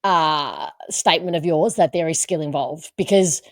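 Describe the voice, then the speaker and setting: A woman's voice: low-pitched, normal speed, dry recording, studio quality. A woman hosting a podcast, chatting casually and emphatically about sport and repeating words for emphasis. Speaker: podcast host.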